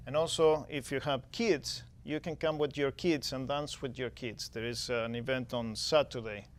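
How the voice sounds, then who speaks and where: A man's voice: mid-range voice, steady tone, moderate pace. A man who directs a music festival, explaining it in a TV studio interview step by step, with frequent restarts.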